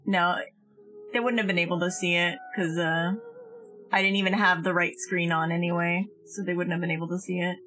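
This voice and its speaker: A woman's voice: fairly low pitch, fairly even intonation, brisk, a bit bright, faint music behind, poor audio. A woman chatting casually and swearing freely as she live streams a game.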